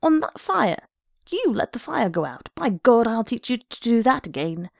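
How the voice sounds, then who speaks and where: A woman plays a bullying, hot-tempered father from a literary story. He barks fierce, scornful demands in a gravelly voice, recorded dry and close. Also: on the low side, sing-song, moderate pace, a little mellow, no room sound. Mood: furious. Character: bullying, hot-tempered Irish working man, drunken father.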